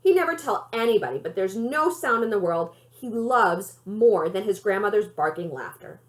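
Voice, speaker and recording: A woman reading a children's novel aloud in an expressive storytelling style. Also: mid-range voice, normal speed. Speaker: library read-aloud reader.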